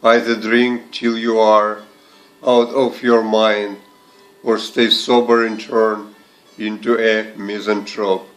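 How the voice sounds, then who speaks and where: Slow, even-voiced man reciting poetry over music. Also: lowish voice, poor audio. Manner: deliberate, with short phrases and pauses. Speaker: poetry reader and translator.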